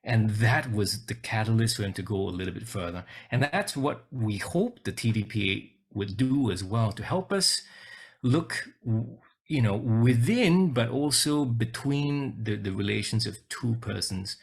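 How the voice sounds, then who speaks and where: A man's voice: fairly low pitch, expressive, unhurried, gravelly, slightly rough. A man from Singapore presenting a webinar over a video call, talking casually and hesitantly, often repeating his words.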